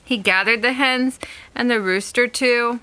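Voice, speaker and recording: A woman narrates a rhyming storybook aloud. Her voice is bright and a little high, and she reads slowly and expressively, with deliberate phrasing. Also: rough recording. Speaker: narrator and reading leader.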